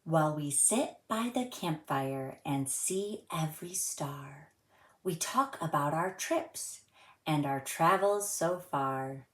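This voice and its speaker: A woman reads a picture book aloud for young children. Her voice sits a little low and moves at a very slow, careful pace, with expressive storytelling delivery. The room adds a clear echo around her words. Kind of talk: children's read-aloud storytelling and friendly presenting to young viewers.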